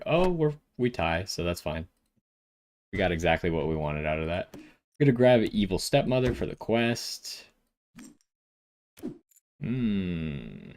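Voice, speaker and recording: Male gaming video host, lower register, casual play-by-play, reasons through decisions aloud. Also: a little hiss or hum. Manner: thinks aloud while deciding. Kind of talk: casual gameplay commentary.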